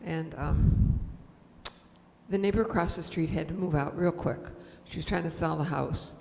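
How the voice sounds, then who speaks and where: Low, creaky elderly American woman. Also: normal speed, mellow. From United States. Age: 70s or older. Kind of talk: personal storytelling in group discussion. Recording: echoey hall, some background noise.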